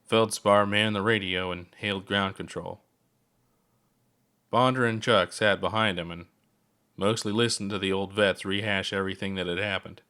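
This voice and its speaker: A man's voice: low-pitched, steady tone, normal speed, bright, forceful tone, clear, resonant tone. A man narrating his own written story in a scripted audiobook style. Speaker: author reading own story.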